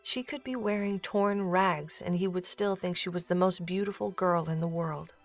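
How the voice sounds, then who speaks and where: Woman narrator reading an audio drama story, lowish voice, some music underneath. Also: steady tone, moderate pace.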